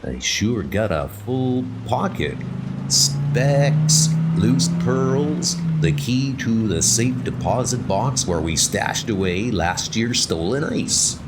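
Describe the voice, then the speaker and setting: A boastful, talkative convict from a horror comic , acted out by a man. His slangy patter swings up and down in pitch. Rain noise sits behind it. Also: sing-song, slow. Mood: pleased, musing. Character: cocky bespectacled convict henchman, swaggering and talkative.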